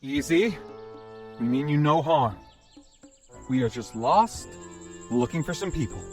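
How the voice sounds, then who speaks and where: A man's voice: a little high, animated, a little slow, soft background music. A man playing an earnest, polite young man in a robot's body in an audio drama. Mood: calm, polite.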